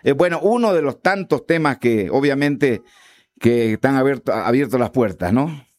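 Enthusiastic Bolivian interviewer. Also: male voice, medium pitch, expressive, moderate pace, a little mellow. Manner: friendly, enthusiastic, calls guest brother. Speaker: interviewer host. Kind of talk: interview conversation.

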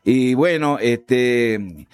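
Bolivian guy hosting an interview, friendly and excited, mid voice. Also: mid-range voice, very slow, smooth. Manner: friendly, enthusiastic, calls guest brother. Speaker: interviewer host. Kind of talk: interview conversation.

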